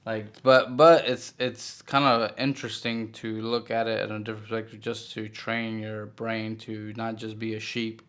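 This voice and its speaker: A man's voice: lowish voice, little pitch movement, moderate pace, hardly any pauses, a bit bright, clear, resonant tone. A young man co-hosting a casual podcast, rambling curiously with lots of "like" fillers. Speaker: podcast co-host. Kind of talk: casual chat. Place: home podcast setup.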